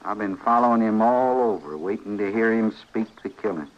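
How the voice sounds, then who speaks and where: Man as simple-minded rural American drifter, lowish voice, folksy and deferential yet fixated, muffled vintage radio western. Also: natural voice. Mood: earnest, brooding. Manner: slow-witted, folksy, deferential. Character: simple, polite, obsessed drifter with a hanging rope. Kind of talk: old-time radio western acting. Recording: muffled old radio recording.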